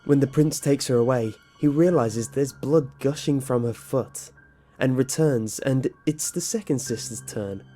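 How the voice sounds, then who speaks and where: A narrator giving a steady scripted read of a countdown of dark story origins, played from a video. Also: medium pitch, unhurried. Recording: narration with music bed.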